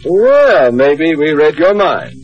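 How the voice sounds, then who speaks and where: Blustery American banker husband, lively swooping voice, dull vintage radio sitcom recording. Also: male voice, fairly high pitch, slow. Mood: hearty. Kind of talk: 1950s radio sitcom acting. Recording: dull, band-limited old radio recording.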